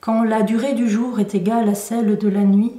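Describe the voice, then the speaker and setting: A woman poet reading her own poetry aloud in measured, deliberate phrases with pauses. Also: a little high, little pitch movement, warm tone. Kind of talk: poetry recitation. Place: indoor room at a poetry venue. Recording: roomy echo, recorded from a distance.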